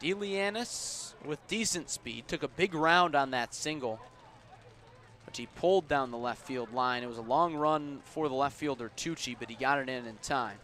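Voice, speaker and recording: A man doing live radio play-by-play of baseball as the announcer, with a measured delivery that rises on the action. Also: mid-range voice, sharp tone. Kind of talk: live radio sports commentary. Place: outdoors at a baseball stadium. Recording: outdoor ballpark ambience noise behind.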